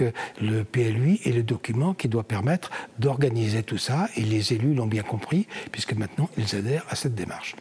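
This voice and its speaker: A man speaks in a mid-pitched voice, explaining his case deliberately as a local official in an interview. There is ambient noise behind him. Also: a little mellow.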